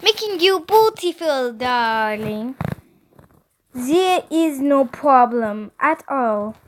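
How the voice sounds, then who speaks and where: A woman's voice: high voice, animated, slow pace, a little hiss or hum. A woman voicing a bratty, theatrical little girl who plays hairstylist with a put-on French accent in a storybook. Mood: coaxing, breezy. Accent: mock French.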